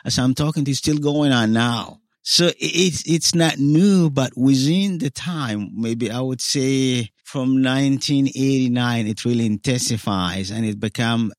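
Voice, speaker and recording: A man's voice: mid-range voice, no room sound, studio quality. A man in his forties from South Sudan, a guest in an interview, telling his own story earnestly and acting out what others said to him. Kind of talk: personal testimony in interview.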